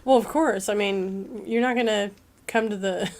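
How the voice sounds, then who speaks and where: A woman's voice: medium pitch, steady tone, moderate pace, darkish tone. A woman co-hosting a livestream, chatting upbeat and reading out viewer comments. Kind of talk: casual chat.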